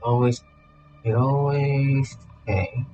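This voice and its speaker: A man's voice: medium pitch, fairly even intonation, slow, lo-fi sound, over music. A man streaming a video game, giving casual commentary and often repeating his words and phrases.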